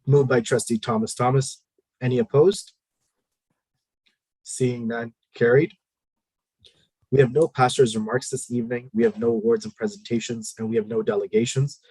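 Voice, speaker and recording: A man chairing a formal board committee meeting, leading a prayer and calling items in a measured, procedural way with frequent fillers. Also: mid-range voice, fairly even intonation, dark tone, husky, no echo, low-quality recording.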